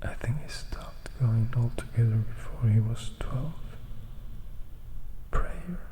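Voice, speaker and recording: A man's voice: mid-range voice, slow pace, close to an everyday voice. A man voicing a melancholy, composed vampire who recounts his past in a reflective, whispered storybook reading. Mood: wistful, confiding. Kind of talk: whispered ASMR audiobook reading.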